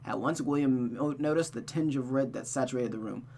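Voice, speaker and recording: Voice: medium pitch, a little fast, a little mellow. A narrator reading a visual novel aloud in a dramatic storytelling manner, as a streamer does. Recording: noticeable background hum and noise.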